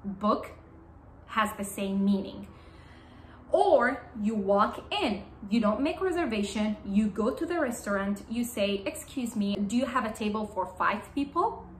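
Young woman teaching English slowly, roomy sound. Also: mid-range voice, expressive. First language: Arabic. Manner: slow, clear, repeats key phrases. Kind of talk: English lesson to camera. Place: small bright indoor room. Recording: roomy indoor sound with faint room hum.

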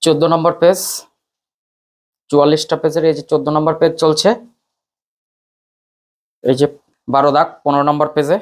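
A man's voice: on the high side, flat delivery, moderate pace, pauses often. A man talks students informally through an exam paper as their teacher, announcing item by item in a repetitive way.

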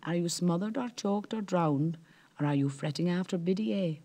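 A man's voice: sits high, normal speed, warm tone, voice pushed up. A man voicing a sly, jovial old male merrow who talks in a knowing, wheedling way.

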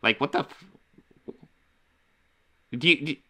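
A man's voice: a little high, slow pace, sharp tone. A man co-hosting a casual livestream, talking in a wry, exasperated way from a home room. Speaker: podcast co-host.

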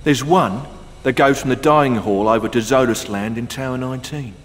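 A man's voice: medium pitch, a little fast, natural voice. A man playing a gossipy, streetwise prison inmate in a video game, telling grisly stories and testy about interruptions. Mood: conspiratorial. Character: gossipy, irritable male prison inmate spinning grisly tales. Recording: long echoing reverb, faint music.

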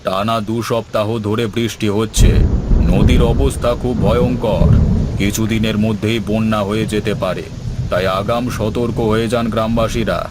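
A man's voice: lowish voice, fairly even intonation, moderate pace, very noisy, lightly acted. A man playing a grave, caring village headman who speaks formally in a cartoon.

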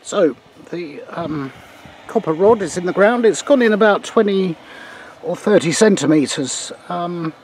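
An older man presenting an informal hands-on tutorial outdoors, explaining in a halting way with hesitant pauses. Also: high-pitched, animated, a little hiss or hum, poor audio. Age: older, about 60s. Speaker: presenter of a radio demonstration. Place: outdoors in a garden beside a field.

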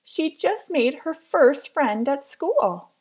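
A woman reading a children's picture book aloud as careful, expressive storytelling. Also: high-pitched, takes it slowly. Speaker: storybook reader.

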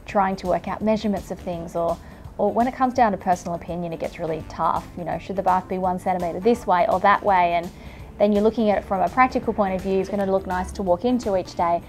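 A woman's voice: medium pitch, quick pace, a little mellow, faint music behind. A woman, a renovation designer, speaking candidly to camera in a seated interview. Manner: candid, reflective.

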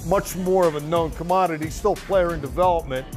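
Older man, team reporter, slightly high voice, outdoor sideline chat, faint music under it. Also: brisk, darkish tone. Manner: conversational, analytical, occasional dry humor. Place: outdoors at a practice field.

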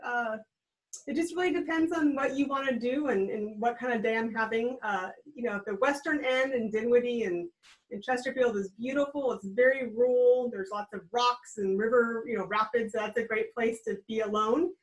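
A woman's voice: a little high, normal speed, low-quality recording. Upbeat American woman on a video call. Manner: friendly, explanatory, upbeat. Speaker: guest, regional trail program director. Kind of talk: casual video-call interview. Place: indoors at home, small room. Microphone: webcam on a video call.

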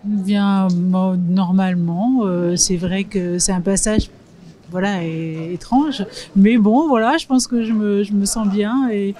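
A woman gives casual answers on the street. Her voice sits at a middle pitch with a dark, mellow tone. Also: steady tone, slow pace. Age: 60s. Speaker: interviewed passer-by. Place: busy street outdoors.

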